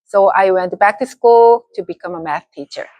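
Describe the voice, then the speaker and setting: A woman math teacher from South Korea, giving plain, steady answers in a school interview. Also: mid-range voice, normal speed, no echo, rough recording.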